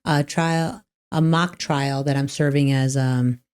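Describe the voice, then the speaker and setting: A woman with a low voice hosts a relaxed podcast chat. She rambles warmly through her points, restarting sentences and leaning on "like". It sounds like easy talk between friends. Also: slow pace, smooth. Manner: chatty, rambling, lots of "like" and restarts. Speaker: podcast host and trial attorney. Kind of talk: casual podcast conversation.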